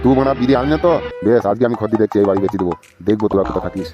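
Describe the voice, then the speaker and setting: A man's voice: fairly low pitch, racing, lo-fi sound, natural voice. A man playing a stubborn, foul-mouthed old father-in-law in a comedy cartoon, grumbling coarsely and making demands. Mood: angry, threatening. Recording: music playing behind the voice.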